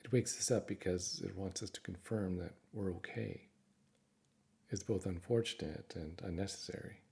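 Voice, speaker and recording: Guy doing guided meditation, lowish voice w lots of vocal fry, gentle and reassuring. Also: normal speed.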